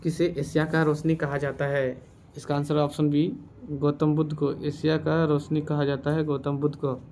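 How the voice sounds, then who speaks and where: A man's voice: on the high side, level tone, moderate pace, darkish tone, slight background noise. A man teaching as a quiz host, reading out questions and answers in an instructional way and repeating the answers. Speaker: quiz teacher for exam preparation.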